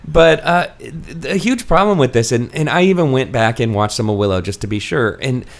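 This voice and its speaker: A man's voice: medium pitch, lively intonation, brisk, creaky. A man co-hosting a casual, comedic book discussion, rambling and often laughing through his sentences. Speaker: podcast co-host.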